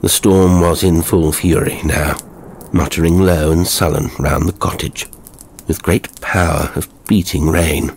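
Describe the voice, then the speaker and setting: A man narrating a horror story in a measured, theatrical storytelling manner, reading scripted literary prose. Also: sits low, fairly even intonation, slight background noise.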